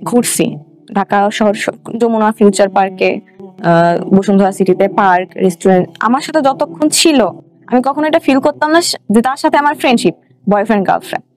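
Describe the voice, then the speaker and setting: A woman's voice: mid-range voice, a little fast, a little mellow. A young woman with a Bangladeshi Bengali accent, telling her own story in a colloquial way as an interview guest, with English words mixed in. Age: young adult. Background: background music.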